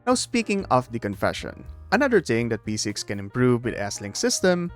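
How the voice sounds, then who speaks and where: A man hosts a scripted video essay with a lively, swinging intonation. His delivery is emphatic, dotted with jokey asides. Light music plays behind his mid-pitched voice. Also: moderate pace.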